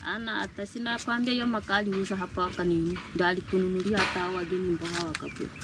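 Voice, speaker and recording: Fast-talking complaining woman in a casual recorded chat. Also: lowish voice, level tone, background noise. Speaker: wife in a recorded conversation. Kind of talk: casual conversation.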